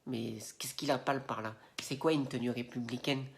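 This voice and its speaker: A man speaks to camera for his video channel, nose blocked from a cold. His talk is loose and slangy, wandering through fillers and restarts with a steady indignant edge. His pitch sits in the middle range. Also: normal speed, gravelly, slight background noise. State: has a head cold, stuffy nose. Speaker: Instagram video creator. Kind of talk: casual opinion rant to camera.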